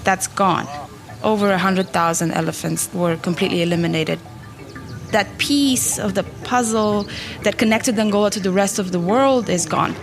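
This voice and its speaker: A woman's voice: medium pitch, moderate pace, creaky. A woman giving earnest spoken commentary as a documentary contributor. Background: faint music.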